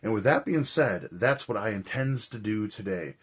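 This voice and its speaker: A man with a hoarse, raspy voice, chatting casually and rambling with lots of fillers as a movie vlogger. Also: lowish voice, lively intonation, moderate pace. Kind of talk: casual chat.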